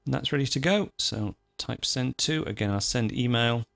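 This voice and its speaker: A man presenting a software tutorial, talking informally and methodically through each step as he goes. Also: medium pitch, normal speed, vocal fry, dry recording. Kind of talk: screencast tutorial, unscripted step-by-step narration.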